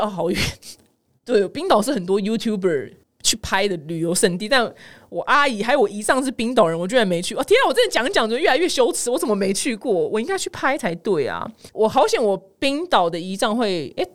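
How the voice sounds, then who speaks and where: A woman hosts a casual podcast in Taiwanese Mandarin at a brisk clip. Her pitch swings widely as she tells stories to her listeners. She comes across as frank, expressive and ready to poke fun at herself. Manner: candid, self-mocking, expressive. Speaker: podcast host of a humorous news show. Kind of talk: casual chatty storytelling to listeners.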